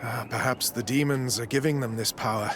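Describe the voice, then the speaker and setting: A zealous priest played by a man speaks at a middling pitch for a game scene. He is formal and smooth-talking, preaching with condescension over faint outdoor ambience. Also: steady tone, brisk, noisy background, close to an everyday voice. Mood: disdainful. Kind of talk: scripted video game dialogue.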